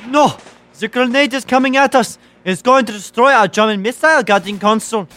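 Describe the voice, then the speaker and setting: A melodramatic supervillain, played by a man, gloating over every scheme. The tone is high and sharply bright, the threats drawn out for effect. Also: fairly quick. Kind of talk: comedy sketch voice acting.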